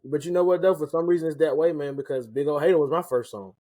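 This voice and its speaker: A man hosting a casual interview, relaxed and slangy, often restarting his questions. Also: on the high side, fast talker, no echo. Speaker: interview host and rapper.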